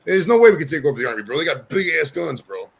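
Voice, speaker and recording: A brash man in his forties hosts a podcast from his home studio. He talks quickly and rambles, cursing freely, and his voice sits a touch higher than most men's. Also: very animated, gravelly, husky. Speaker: podcast host. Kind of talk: casual chat.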